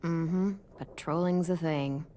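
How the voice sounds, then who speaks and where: A woman's voice: sits low, steady tone, slow pace, mellow, a little hiss or hum. An American actress playing a guarded but caring teenage girl survivor in a video game, in a natural style. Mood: earnest, reassuring. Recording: music behind the voice.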